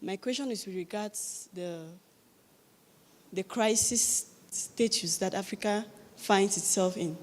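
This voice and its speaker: A young woman with a Cameroonian accent addresses a panel as a student in the audience. Her voice sits at an average pitch and carries a long hall reverb. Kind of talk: formal question from the floor. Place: large conference hall, public address.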